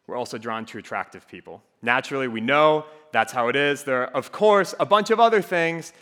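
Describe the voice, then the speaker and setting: A young man from Minnesota with a faint Minnesotan accent, giving a relaxed, joking talk to a student group. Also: mid-range voice, fairly quick, long reverb tail. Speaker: campus ministry speaker. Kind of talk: casual sermon to a student group.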